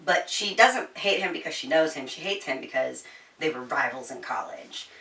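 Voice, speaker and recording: A woman's voice: low voice, animated, moderate pace, creaky, pushed and bright, recorded from a distance. A woman hosting a casual, chatty book review, informal and giggly, with some swearing. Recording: roomy echo, slightly off-mic.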